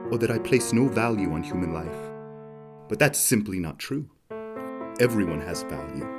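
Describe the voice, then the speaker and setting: A man playing an amoral, self-justifying innkeeper in an audio drama, with a theatrical, articulate, formal and wry delivery. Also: lowish voice. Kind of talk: dramatic first-person monologue narration in an audio drama.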